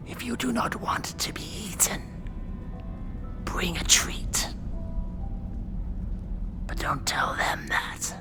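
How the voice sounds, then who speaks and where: A performer whispering as a dry, weary demon judge of hell who instructs a trainee in a measured, deadpan horror ASMR role-play. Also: medium pitch. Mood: deadpan warning. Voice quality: breathy creaky whisper. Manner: slow, measured, deliberate, deadpan. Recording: music behind close whispered voice. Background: music.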